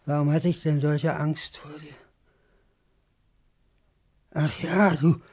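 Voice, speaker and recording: An actor in a 1950s radio drama playing an anxious, fearful man who speaks haltingly and repeats his words. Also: on the high side, flat delivery, darkish tone, breathy edge. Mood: weak, resigned. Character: anxious man named Anton, faltering and fearful.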